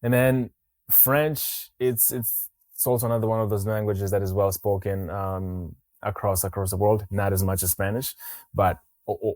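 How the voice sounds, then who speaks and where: A man with a very low, deep voice hosts a relaxed podcast conversation. He talks in a halting way, stopping and restarting his sentences often. The sound is dry and close, with little room echo. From Mozambique. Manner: halting, many restarts and fillers. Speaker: podcast host. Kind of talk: casual interview chat.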